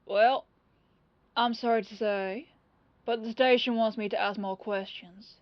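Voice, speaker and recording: A woman's voice: on the high side, steady tone, a little slow, dry recording, dull audio, natural voice. Woman playing a folksy, humble, rambling detective. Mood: humble, chatty. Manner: rambling, self-effacing, disarmingly casual. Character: folksy, humble, apologetic, chatty police detective. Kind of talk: ASMR roleplay audio drama.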